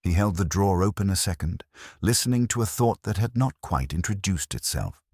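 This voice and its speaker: Male narrator, extremely low pitch, expressive intonation, measured pace, wry understatement, literary mystery storytelling, close dry sound. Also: husky, dry recording. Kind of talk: scripted literary audiobook narration of a cosy mystery.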